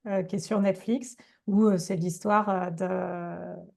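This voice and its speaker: A woman's voice: fairly low pitch, fairly even intonation, slow pace, gravelly, a little mellow. A woman chatting on a podcast, giggly and talkative. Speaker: podcast guest. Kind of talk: casual chat.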